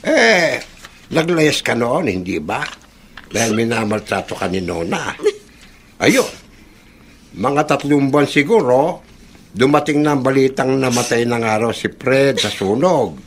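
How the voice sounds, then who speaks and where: A man's voice: medium pitch, sing-song, unhurried, pauses often, a little hiss or hum. An actor playing a chatty old male Filipino neighbour, folksy and gossipy, in a radio drama. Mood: grave, sympathetic. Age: older.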